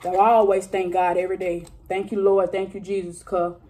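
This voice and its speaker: A woman in her thirties chatting casually with her viewers during a mukbang eating video, talking straight to them with drawn-out exclamations between bites. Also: mid-range voice, little pitch movement, mellow. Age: 30s. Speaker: vlogger.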